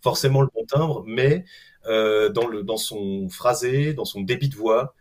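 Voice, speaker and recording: Middle aged guy on a video call panel, mid voice, halting, repeats words a lot. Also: medium pitch, a little mellow. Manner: halting, hesitant delivery with many self-repetitions. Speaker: guest animated film director. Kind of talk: casual panel discussion.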